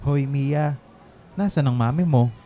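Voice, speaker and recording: Actor as gloating schoolboy bully, radio drama, mellow mid-range voice, mocking drawl, faint music underneath. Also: male voice, mid-range voice, natural voice. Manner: mocking, sneering, drawn-out Taglish jabs. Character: smug, taunting schoolboy bully. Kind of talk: Filipino radio drama acting.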